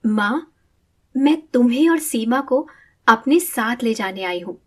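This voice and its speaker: High-voiced, earnest young daughter. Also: female voice, expressive, a little slow. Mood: hopeful, earnest. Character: devoted, earnest young adult daughter of a poor mother. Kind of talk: animated moral story dialogue.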